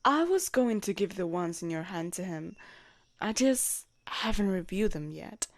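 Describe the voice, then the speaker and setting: A woman playing a jealous, protective royal knight in an intimate audio roleplay, expressive and blunt in manner. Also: mid-range voice, a little slow, no echo. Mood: evasive, careful. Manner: expressive, blunt, sweary, teasing. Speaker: voice actor in a romantic audio roleplay.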